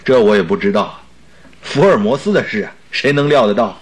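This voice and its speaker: An actor playing an eager, talkative doctor and detective's sidekick in a radio drama, exclaiming theatrically. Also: male voice, fairly low pitch, expressive, brisk, slightly rough. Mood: mild. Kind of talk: Mandarin radio drama acting.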